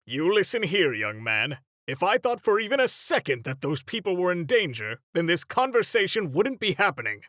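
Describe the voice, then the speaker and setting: A man playing an older superhero mentor in a comic dub, paternal and gently stern, with a theatrical, measured delivery. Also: sits high, big pitch swings, no room sound, clean studio sound. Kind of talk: dramatic comic dub voice acting.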